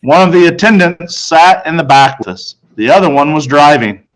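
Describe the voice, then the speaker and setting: A man's voice: a little high, expressive, moderate pace, poor audio. A man narrating a children's novel aloud in the first person, as a storybook narrator.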